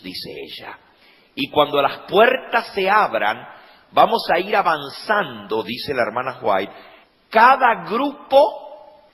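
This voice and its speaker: Preacher, man, mid-pitched, unhurried delivery that swells into loud emphatic declarations; long hall reverb. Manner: rising to loud emphatic peaks, asking the congregation questions. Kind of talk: sermon.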